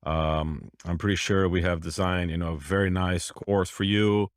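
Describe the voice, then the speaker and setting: A man from Brazil with a very low voice. He talks in a relaxed, hesitant way with small restarts. The recording is dry. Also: normal speed, no echo. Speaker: instructor.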